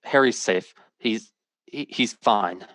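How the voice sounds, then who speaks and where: Earnest troubled radio drama hero, lowish voice, intense, dry sound. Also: male voice, slow, a bit bright, dry recording. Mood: deadly serious. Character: earnest, troubled man telling a fantastic story. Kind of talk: old-time radio drama acting.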